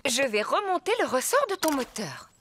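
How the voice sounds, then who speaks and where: High, kindly cartoon mummy pig. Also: female voice, sing-song, moderate pace, very noisy. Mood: reassuring. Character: kind, calm mummy pig in a children's cartoon. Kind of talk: children's cartoon dubbing.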